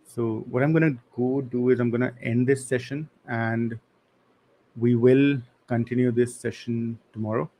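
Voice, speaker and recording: Young male instructor, casual live-class delivery, flat intonation, mid pitch. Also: moderate pace. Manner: casual, apologetic, talks to chat with slang. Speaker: online coding instructor.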